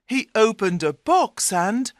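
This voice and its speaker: Very slow, animated woman storyteller. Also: on the high side, no echo, clean studio sound. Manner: deliberate, carefully separated phrases. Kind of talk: scripted fairy-tale narration for English learners.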